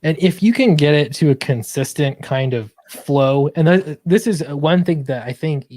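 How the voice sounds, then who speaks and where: A man's voice: fairly high pitch, normal speed, darkish tone, no room sound. A man in a casual panel discussion, earnest and agreeable, feeling his way through points with small self-corrections.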